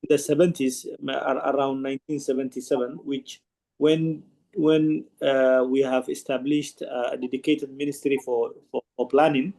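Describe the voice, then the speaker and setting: A man, a participant in an online panel, who explains at length in an earnest, halting way with many restarts. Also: medium pitch, little pitch movement, vocal fry, mellow, rough recording. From Somalia. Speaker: conference participant asking a question.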